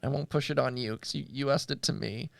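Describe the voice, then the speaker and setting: A man hosting a podcast in a casual, conversational way, with halting, hesitant phrasing. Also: mid-range voice, creaky, dry recording. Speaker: podcast host.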